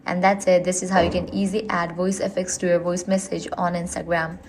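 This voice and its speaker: A woman's voice: on the low side, flat delivery, brisk, a little mellow. Woman narrating a tutorial over background noise. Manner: instructional, step-by-step. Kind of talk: scripted how-to narration.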